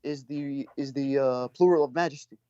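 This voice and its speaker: A man's voice: medium pitch, normal speed, dark tone, smooth, no room sound. Male caller in religious argument. Dry, muffled online-call sound, defensive delivery that keeps cutting in. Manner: defensive, repeatedly interjecting. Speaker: debate caller. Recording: dry, dull lo-fi call audio.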